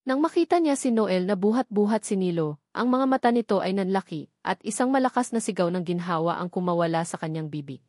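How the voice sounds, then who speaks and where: Audiobook narrator: woman, medium pitch, scripted dramatic romance delivery, very dry sound. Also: expressive, a little fast, a little mellow, no room sound.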